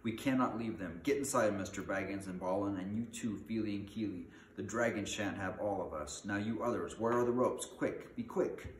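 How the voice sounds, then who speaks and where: A man's voice: low voice, steady tone, moderate pace, lightly acted. A man voicing a proud dwarf leader in a storybook, stern, dignified and commanding. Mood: commanding, urgent. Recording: echoey room, faint background noise.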